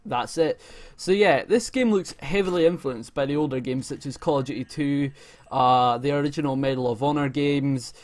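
A man's voice: mid-range voice, moderate pace. A man, giving casual, enthusiastic gaming commentary in a sweary, informal way. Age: 20s. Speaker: gaming video creator. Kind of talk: casual gaming news commentary over gameplay. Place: indoor room at home. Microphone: desk microphone close to mouth.

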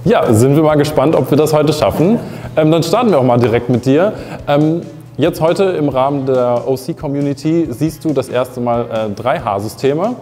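A man's voice: on the high side, moderate pace, warm tone, big-room sound, faint music behind. A young adult man hosting a talk show, asking questions casually with some fillers. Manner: interviewing, hesitant with fillers. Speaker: host. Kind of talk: casual interview chat. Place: photo studio with grey backdrop.

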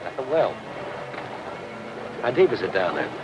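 An actor playing an inquisitive, dryly persistent middle-aged newspaper reporter in a 1950s television crime drama. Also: male voice, fairly low pitch, very animated, measured pace, dull audio. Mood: dry, probing. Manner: questioning, dry, probing. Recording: noisy old 1950s film soundtrack.

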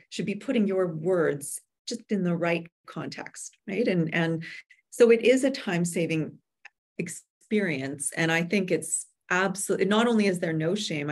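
A woman in her forties giving measured, explanatory answers as an expert guest on a webinar video call. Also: fairly low pitch, darkish tone. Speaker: guest expert, hybrid publisher. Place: room with bookshelves at home or office, indoors. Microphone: computer video call.

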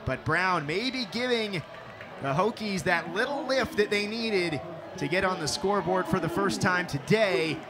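A young man calling a televised softball game as play-by-play announcer, with a crisp broadcast delivery that lifts for big plays. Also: high-pitched, lively intonation, unhurried, crowd noise. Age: young adult. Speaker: sports reporter and play-by-play announcer.

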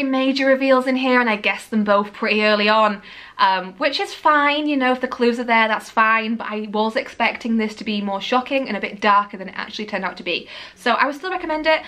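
A young woman from Yorkshire with a Lincolnshire English accent, chatting casually about books in her living room, animated and laughing often. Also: fairly high pitch. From Yorkshire, England. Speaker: book vlogger.

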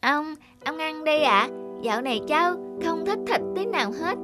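A woman's voice: sits high, animated, moderate pace. A woman voicing a sweet, devoted little peasant boy in a children's cartoon, with a polite, childlike, pleading manner. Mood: gently pleading. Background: music.

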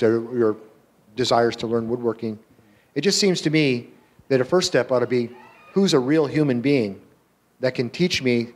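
An older man on a panel speaking earnestly into a handheld mic on an auditorium stage, often pausing and restarting his sentences. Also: medium pitch. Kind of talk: panel discussion.

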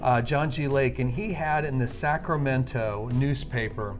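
A man preaching with enthusiasm, reading a Bible passage slowly and emphatically. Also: medium pitch, faint music behind. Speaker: minister.

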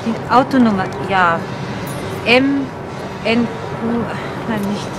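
An actress playing a brisk, worried middle-aged mother and businesswoman in a 1970s radio crime play. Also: a little high, music in the background. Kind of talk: 1970s German radio crime drama acting. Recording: noisy vintage radio play recording.

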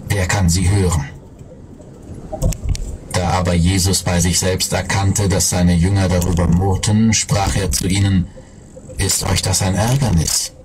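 A man reading scripture aloud in a slow, solemn way, heard as a recorded clip. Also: low-pitched, level tone, background noise. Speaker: scripture reader.

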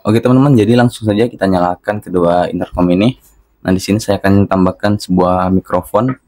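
A man's voice: low voice, steady tone, brisk, a little mellow, dry recording, clean studio sound. A man narrating a casual hands-on product tutorial, talking instructively to his viewers. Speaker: product reviewer.

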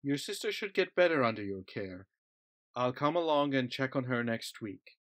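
A man playing a calm country doctor. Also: mid-range voice, moderate pace, no echo, studio quality, natural voice. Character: Doctor Bangs, calm adult male doctor. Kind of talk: amateur audio drama acting.

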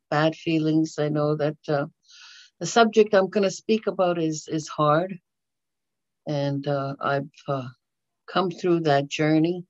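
An older woman whose first language is Dakota, speaking in a measured, halting way over a video call from a small bedroom. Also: deep voice, darkish tone, no room sound. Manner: measured, halting, with frequent pauses. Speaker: community presenter. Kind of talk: prayer and talk. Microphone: video call webcam. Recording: slightly dull lo-fi video call audio.

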